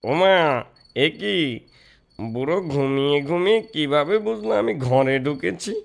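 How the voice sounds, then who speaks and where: A man's voice: a little high, lively intonation, a little slow, creaky. A man playing a timid, hard-up cartoon husband who is an apprentice thief, speaking anxiously and pleadingly. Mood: alarmed. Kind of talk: cartoon voice acting.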